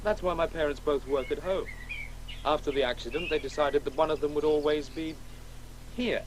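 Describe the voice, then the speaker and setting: Male actor as a precocious, rather high-voiced boy. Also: fairly even intonation, normal speed, background noise, close to an everyday voice. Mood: confident, insistent. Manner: articulate, insistent, eager. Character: precocious schoolboy in wheelchair, earnest, confident, chatty. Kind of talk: naturalistic radio play dialogue.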